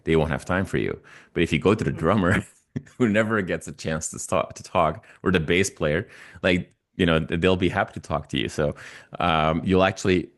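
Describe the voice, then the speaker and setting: Very deep-voiced man, Belgian Dutch accent. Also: normal speed. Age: 40s. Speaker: interview guest, music YouTuber. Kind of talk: casual conversational interview.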